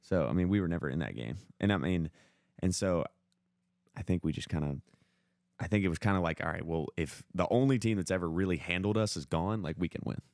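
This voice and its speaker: A man's voice: low voice, brisk, creaky, a little mellow, dry recording, clean studio sound. A young American man telling sports stories in a casual, slangy way, often quoting what others said. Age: young adult. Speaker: podcast co-host and former high school baseball player.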